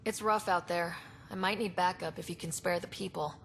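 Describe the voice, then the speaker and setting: Casting: a sympathetic, determined young explorer hero in a game, slightly low, creaky, plain-spoken. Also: female voice, fairly even intonation, fairly quick, a bit bright, slight background noise. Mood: curious. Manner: earnest, direct. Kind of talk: video game cutscene acting.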